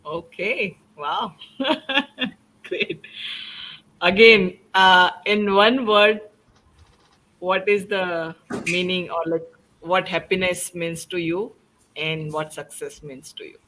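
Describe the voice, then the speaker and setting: Woman running a panel chat, slow talker, bright clear-cut voice, asks the questions. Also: lowish voice, slight background noise, rough recording. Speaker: host of a panel discussion. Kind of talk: hosting a group conversation.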